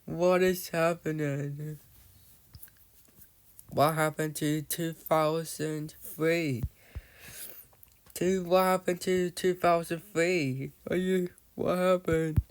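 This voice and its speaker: Slow rambling man narrating a game. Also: on the high side, fairly even intonation, clear, resonant tone. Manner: rambling, loses his thread, restarts often; often addresses viewers as "guys". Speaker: gamer narrating his play. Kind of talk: casual gameplay commentary.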